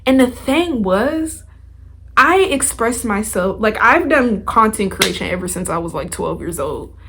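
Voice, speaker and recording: A woman's voice: medium pitch, lively intonation, unhurried, noisy background. A young adult woman hosting a podcast, talking in a casual, motivational way with chatty, informal, slangy phrasing. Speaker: podcast host.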